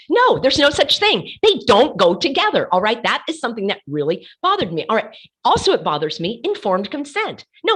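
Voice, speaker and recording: A woman hosts her own commentary show with a voice gone hoarse from laryngitis. She sits somewhat high and moves through a very lively range. She talks with passion and drives her points home by repeating words. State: losing her voice. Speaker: host of an online commentary show. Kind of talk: casual commentary while sharing her screen.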